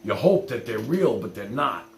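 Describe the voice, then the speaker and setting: Middle-aged man, gravelly fry, casual livestream storyteller, blunt and rambling, roomy echo with faint hum. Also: fairly low pitch, sing-song, normal speed. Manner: blunt, rambling, peppered with swearing and "you know". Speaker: livestream host. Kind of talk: casual chat, personal storytelling to live viewers.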